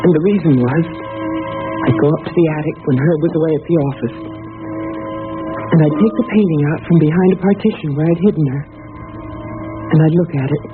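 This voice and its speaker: An actress playing an anxious, secretive young wife in an old-time radio drama, hesitant and tender with dramatic pauses. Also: female voice, sits low, lightly acted. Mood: haunted, intense. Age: young adult. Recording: tinny vintage radio, music behind.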